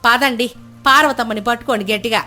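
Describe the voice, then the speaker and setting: A woman's voice: on the high side, fast talker, pushed and bright. A woman voicing a lonely, kind-hearted elderly village neighbour in a moral story, with a homely, reassuring way of talking. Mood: caring, insistent. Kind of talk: moral storybook character dialogue. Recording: music bed under the voice.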